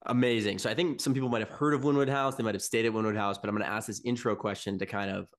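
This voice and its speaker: A man hosting a podcast, reading a scripted intro and asking brisk, eager interview questions. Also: fairly low pitch, fairly even intonation. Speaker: podcast host.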